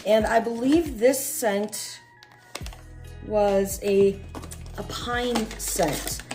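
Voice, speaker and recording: A middle-aged American woman presents products in a casual, chatty vlog style. Her medium-pitched voice is under the weather with a chest cold. Music plays behind her. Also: drawn-out words. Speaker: unboxing vlog host. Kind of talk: casual product review chat. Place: small room at home, at a table.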